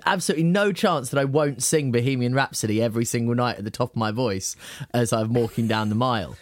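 Man, podcast co-host, jokey, laughing, low voice, dry sound. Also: quick pace, no echo. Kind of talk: casual podcast chat.

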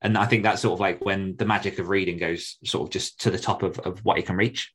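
A man co-hosting a casual podcast, quick and teasing, laughing easily. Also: low voice, fairly even intonation, a bit bright, breathy edge. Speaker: podcast co-host. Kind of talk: casual chat.

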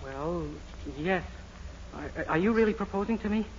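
Boyish, timid young man, high voice, earnest sheepish delivery, vintage radio comedy with muffled sound. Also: lively intonation, moderate pace. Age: young adult. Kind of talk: 1940s radio comedy acting. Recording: dull, faintly noisy old radio recording.

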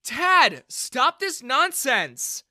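A man's voice: very high voice, very animated, a little slow, sharp tone, no room sound, studio quality, pitched above the performer's own voice, full character voice. A man voicing a kind, outspoken young woman who speaks earnestly and flares up in anger. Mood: earnest, angry. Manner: pleading, fiery when provoked.

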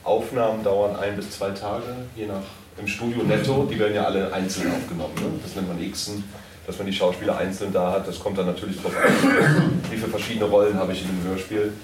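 Lowish male panelist, distant and echoey. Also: fairly quick. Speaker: audio drama producer on a convention panel. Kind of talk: explanatory panel talk. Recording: echoey room, distant mic, faint noise.

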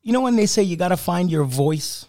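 A middle-aged man, a comedian whose first language is Italian, telling anecdotes on a podcast with lively restarts. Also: high-pitched, a little fast. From Canada. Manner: animated anecdotes with laughs and restarts. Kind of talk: casual storytelling interview.

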